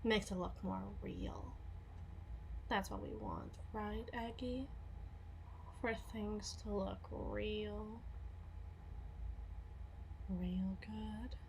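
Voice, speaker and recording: Woman acting a slow-talking, sardonic tough henchwoman. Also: medium pitch, steady tone, vocal fry, a little hiss or hum, poor audio. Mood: wry, sardonic. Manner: sardonic, swearing, casual slangy drawl. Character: tough, foul-mouthed bodyguard henchwoman, sardonic and guarded. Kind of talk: ASMR roleplay, acted monologue.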